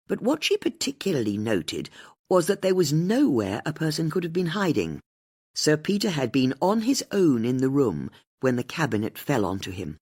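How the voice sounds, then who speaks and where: A woman narrates a mystery audiobook. Her voice is husky rather than clean, and she reads the scripted prose with very varied intonation. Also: rumbling low, darkish tone.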